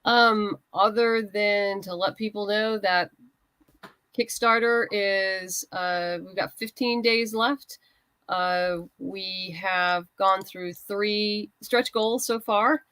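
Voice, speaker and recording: A woman's voice: medium pitch, steady tone, slow, fairly bright tone, no echo. A woman speaking as an interview guest, chatting casually as a creator talking up a project. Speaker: guest writer and comics creator. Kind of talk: casual interview chat, plugging a crowdfunding campaign.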